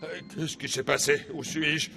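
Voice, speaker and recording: A man's voice: a little high, flat delivery, normal speed, vocal fry, loud music behind the voice. An actor playing a fussy, self-important tech tycoon in a cartoon, blustering and hesitating as he speaks. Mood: flustered, indignant. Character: pompous, fussy, excitable male billionaire tech boss. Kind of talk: animated cartoon dub.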